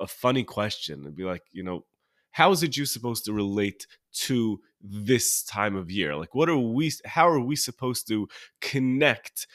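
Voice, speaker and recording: An American man who is sniffly with a cold, hosting a solo podcast and teaching in an informal, halting way, rephrasing as he goes. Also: mid-range voice, a bit bright, no echo, clean studio sound. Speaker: podcast host and religious teacher.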